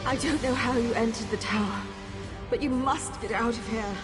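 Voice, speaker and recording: A woman's voice: a little high, close to an everyday voice. Woman playing a frightened dying woman, cavernous reverb. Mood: urgent warning. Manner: pleading, halting, earnest. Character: frightened dying woman trapped in a failing tower. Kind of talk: dramatic video game voice acting. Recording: long cavernous reverb, music underneath. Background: game music.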